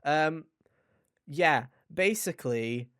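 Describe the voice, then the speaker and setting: A man's voice: on the high side, expressive, slow pace, a bit bright, clean tone, dry recording. A man hosting a podcast in a casual, chatty way, with a jokey, mock-dramatic manner, close to the microphone. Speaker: podcast host.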